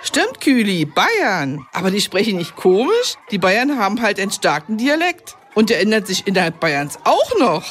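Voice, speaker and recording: A woman's voice: medium pitch, wildly swooping pitch, normal speed, few pauses, breathy edge. A woman playing a patient kindergarten teacher explaining things in a children's radio comedy.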